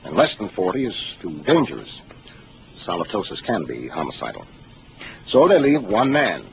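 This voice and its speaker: An actor playing a calm, seasoned starship captain who speaks in a measured, authoritative way, in a 1950s radio drama. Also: male voice, low voice, gravelly, exaggerated voice. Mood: explaining. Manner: measured, authoritative, explaining patiently. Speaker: ship captain. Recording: hissy narrow 1950s radio broadcast.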